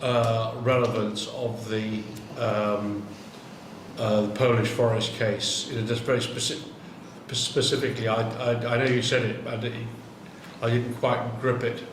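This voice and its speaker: A man's voice: mid-range voice, flat delivery, a little slow. A man at an academic workshop pressing a presenter on his question, bluntly and persistently after a hesitant start. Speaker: workshop participant. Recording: echoey room mic from afar with noise.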